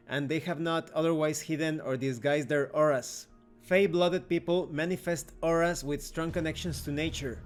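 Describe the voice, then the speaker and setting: A man with a slightly high-pitched voice hosts an explanatory review. He speaks in an orderly, instructional way, stepping through the material point by point. Soft music plays underneath his narration. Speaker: game reviewer, channel host. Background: background music.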